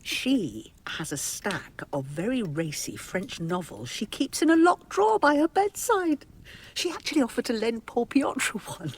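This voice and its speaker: An actress playing an imperious, gossipy and anxious middle-aged society matriarch in a radio drama, with theatrical, breathy asides. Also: female voice, on the high side, very animated, a little slow, a little hiss or hum, rough recording. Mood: gossipy, amused.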